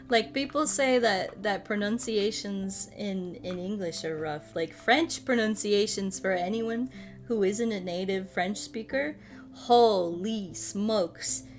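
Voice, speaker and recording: A woman in her thirties, a streamer chatting casually while she plays a puzzle game, playful and quick to poke fun at herself. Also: mid-range voice, soft background music. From United States. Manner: playful, self-mocking, laughs often, tries out broken Spanish and French.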